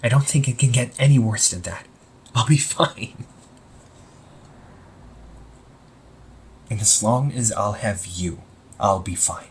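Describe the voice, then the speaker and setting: A man playing a young supervillain in an amateur audio roleplay, husky and breathy, speaking earnestly and haltingly to the listener. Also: fairly low pitch, slight background noise, close to an everyday voice. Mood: tender, reassuring. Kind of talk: amateur audio roleplay, intimate one-sided dialogue to listener.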